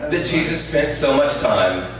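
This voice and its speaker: A man preaching a sermon to a live audience in a loud, conversational voice, often checking that listeners follow. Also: a little high, lively intonation, measured pace, crowd noise. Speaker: guest preacher. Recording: very echoey room, distant mic, faint ambience.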